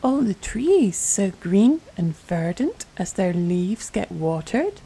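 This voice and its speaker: A woman playing a tender, doting mother in a soft-spoken ASMR bedtime roleplay, gentle, soothing and affectionate, often dropping to a whisper. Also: medium pitch, big pitch swings, takes it slowly, gravelly, husky, exaggerated voice, rough recording. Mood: tender, playful. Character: tender, doting mother soothing her little girl to sleep.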